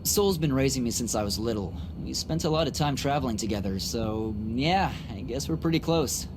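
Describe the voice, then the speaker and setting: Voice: medium pitch, animated, normal speed, background noise, lightly acted. An actor playing an easygoing, candid, boyish young man in an English anime game dub, casual and a bit awkward. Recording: very loud music behind the voice.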